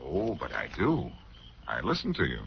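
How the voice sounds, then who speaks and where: An American actor playing a cool, wry, wisecracking amateur sleuth in a 1940s radio drama. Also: male voice, rumbling low, wildly swooping pitch, normal speed, creaky, exaggerated voice. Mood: wry, confident. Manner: wry, unflappable, teasing. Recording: muffled, noisy 1940s radio recording.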